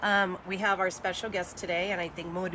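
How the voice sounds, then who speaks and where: A middle-aged woman hosting a live chat show in a loose, talkative way. She laughs easily and drops Spanish words into her English. Traffic noise and passing vehicles hum clearly behind her. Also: lowish voice, little pitch movement, fairly quick. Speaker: show host. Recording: noisy background with passing vehicles.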